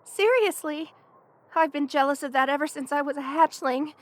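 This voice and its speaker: A woman voicing a young lamia best friend in an intimate ASMR roleplay, affectionate and hesitant, with a soft-hearted manner. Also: sits high, moderate pace, natural voice. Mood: cosy, grateful.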